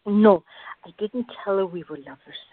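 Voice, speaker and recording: An actress playing a calm, patient, gently firm woman who reasons in measured tones in a radio drama. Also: medium pitch, natural voice. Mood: reasoning. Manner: measured, placating, explanatory. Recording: tinny, band-limited, echoey room.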